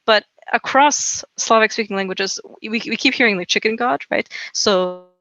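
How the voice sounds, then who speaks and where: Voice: medium pitch, normal speed, sharp tone, highs cut off. A podcast co-host whose first language is Serbo-Croatian, chatting casually about folklore in a rambling way, full of "you know" and "right?".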